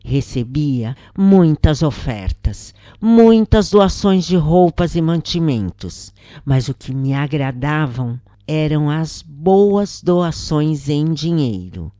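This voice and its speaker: Brazilian female narrator, very low pitch, expressive intonation, measured scripted storytelling, carefully phrased. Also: dry recording, clean studio sound. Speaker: narrator and channel host. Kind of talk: scripted narration of a spiritual account.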